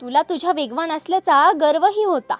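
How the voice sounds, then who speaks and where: A woman voicing a calm, humble, steady male tortoise in a children's story, explaining earnestly and without hurry. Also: high voice, a little fast, no room sound, soft background music. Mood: calm, firm.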